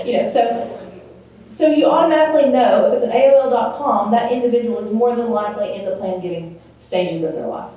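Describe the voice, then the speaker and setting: A woman's voice: on the high side, dark tone. A woman from a community foundation answering an audience question at a conference, talking in a theatrical, projected voice. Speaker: foundation staff speaker. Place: large echoey conference room. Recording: echoey room, distant mic, faint noise.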